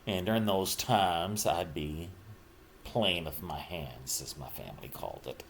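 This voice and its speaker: A middle-aged man talking casually and reflectively about himself, in halting, broken phrases with trailing pauses. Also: deep voice, expressive, a little hiss or hum. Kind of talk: casual personal monologue, rambling reflection.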